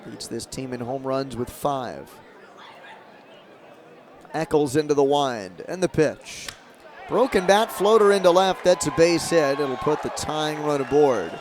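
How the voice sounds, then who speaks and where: A man doing live radio play-by-play of a baseball game, describing the action in short, clipped, measured phrases. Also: a little high. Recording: clear outdoor ballpark noise behind.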